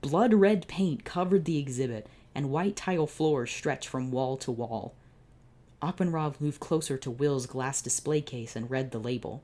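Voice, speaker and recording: A woman's voice: a bass voice, measured pace, warm tone. A woman hosting her channel, reading fan fiction aloud and breaking off for sarcastic, profane asides. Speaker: channel host.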